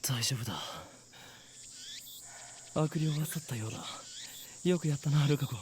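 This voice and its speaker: A man's voice: on the high side, fast, a little mellow, a little hiss or hum, natural voice. An actor playing a theatrical young man, a self-styled mad scientist, in a Japanese visual novel game. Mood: grandly reassuring.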